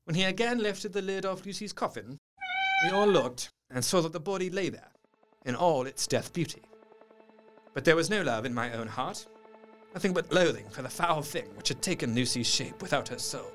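Earnest male diarist, played by an actor, gothic audio drama narration: formal, intense, fairly high voice, light music underneath. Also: lively intonation, moderate pace, a bit bright. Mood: grim, sombre. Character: earnest man recounting horrors from his journal.

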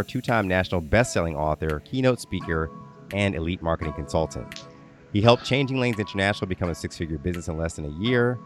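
A man hosting a podcast interview in an informal, welcoming manner. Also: sits low. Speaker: podcast host.

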